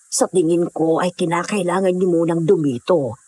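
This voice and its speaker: A woman's voice: fairly low pitch, fairly even intonation, normal speed, dark tone, slightly rough, no room sound. A woman playing a hospitable older mountain dweller in an audio drama, motherly and reassuring. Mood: cautioning.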